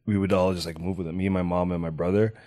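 A man's voice: low voice, fairly even intonation, mellow, dry recording, studio quality. A Kenyan-born man with an American accent, a guest comedian talking loosely in a casual podcast chat, full of fillers. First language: Swahili. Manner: loose and chatty, full of "like" fillers, quick to laugh.

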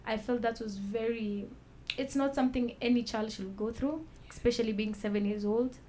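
A woman telling her own story as a talk-show guest, soft-spoken and measured, pausing with fillers. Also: on the high side, steady tone, slight background noise. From Kenya. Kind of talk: personal testimony in a talk show.

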